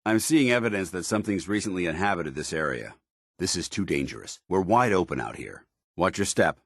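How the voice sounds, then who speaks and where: Video game soldier: stern, disciplined, formal, low-pitched and husky, with varied intonation. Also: male voice, lively intonation, brisk, dry recording, clean studio sound, heavily acted. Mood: alert. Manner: formal, military, clipped. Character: stern, disciplined soldier in power armor, middle-aged man. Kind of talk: scripted video game dialogue.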